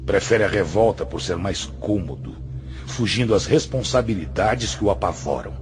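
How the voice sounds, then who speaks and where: A man's voice: sits low, animated, moderate pace. A man acting in a radio drama as a stern, patient spiritual master, speaking in a solemn, admonishing and didactic way. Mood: grave reproach. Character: stern, patient male spiritual master, grave moral counselor. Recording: music under the voice.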